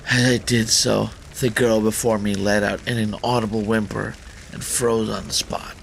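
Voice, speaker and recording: Male gaming host, low pitch, creaky gravelly voice, dramatic visual novel narration, slightly noisy recording. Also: fairly bright tone. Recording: faint background noise.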